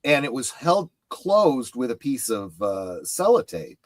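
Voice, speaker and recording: A middle-aged man chatting casually about collecting, explaining things in a halting way. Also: medium pitch, big pitch swings, no room sound.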